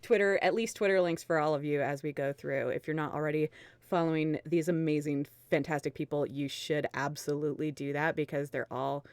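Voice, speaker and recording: A woman's voice: low-pitched, steady tone, a little fast, no echo. A woman hosting a casual livestream chat with friends, speaking in an effusive, gushing way. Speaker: host of a live group reading stream.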